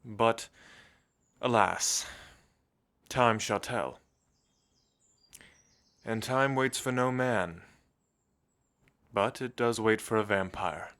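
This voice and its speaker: Man acting as a slow-speaking, refined old vampire. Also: fairly low pitch, a bit bright, exaggerated voice. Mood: brooding, wistful. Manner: measured, old-fashioned, hesitant pauses. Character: refined, centuries-old gentle male vampire, wistful and courtly. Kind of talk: intimate ASMR roleplay acting.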